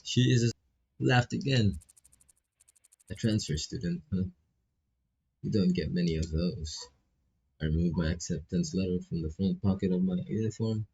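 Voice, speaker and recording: Man, very low pitch, non-native English, husky, streamer reading aloud, stumbling, casual asides, dull lo-fi mic. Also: normal speed, pauses often, darkish tone, slight background noise. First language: not English. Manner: stumbles and repeats phrases while reading. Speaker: streamer playing a visual novel. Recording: dull, lo-fi microphone sound.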